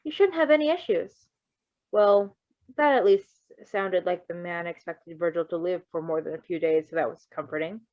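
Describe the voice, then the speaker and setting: A woman's voice: on the low side, fairly even intonation, moderate pace, no room sound. A woman reading a story aloud as a narrator, with playful casual asides. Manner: playful, breaks off to riff. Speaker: reader of fan fiction. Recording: dry, slightly muffled recording.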